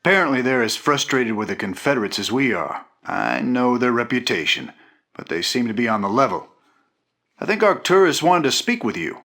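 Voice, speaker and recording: A rugged rebel captain from a sci-fi game, with a mid-pitched voice and a sharp, forceful tone. He talks plainly and casually, with an easygoing temper. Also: big pitch swings, a little fast, vocal fry. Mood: casual, guarded. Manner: informal, plainspoken. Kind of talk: video game mission briefing.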